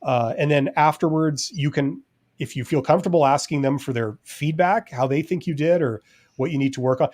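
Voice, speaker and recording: A man co-hosting a casual live-stream chat, talking in a relaxed, rambling way with many fillers. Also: on the high side, brisk. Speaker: podcast co-host.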